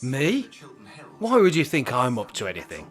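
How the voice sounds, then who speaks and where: Radio play: cheeky, cocksure older male rascal male actor, mid-pitched, dry teasing delivery. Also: huge pitch swings, normal speed, soft background music. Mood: feigned innocence, gleeful. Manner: wry, teasing, feigned innocence. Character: mischievous, cocky older male care-home resident in a wheelchair. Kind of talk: amateur radio play acting.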